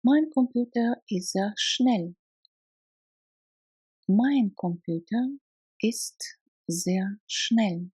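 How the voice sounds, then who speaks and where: A woman reading short phrases for beginners, repeating each one slowly in careful chunks. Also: mid-range voice, a little mellow, husky, no room sound. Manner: slow, careful phrase-by-phrase repetition. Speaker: language lesson reader.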